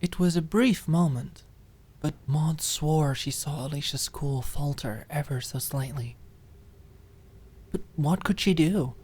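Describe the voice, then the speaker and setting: A woman's voice: deep voice, warm tone. A woman reading scripted story narration for an ASMR-style dramatic audiobook.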